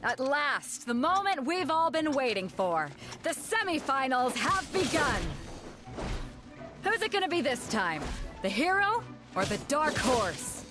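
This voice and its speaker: A woman playing a brisk, commanding tournament announcer in a video game, with a showy, declarative delivery. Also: high voice, very animated. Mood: rousing. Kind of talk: video game character dialogue. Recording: game music behind the voice.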